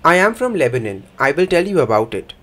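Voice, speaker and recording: Man giving a measured voice-over. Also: on the high side, big pitch swings, a bit bright. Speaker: voice-over interpreter for a signing speaker. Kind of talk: first-person voice-over interpretation.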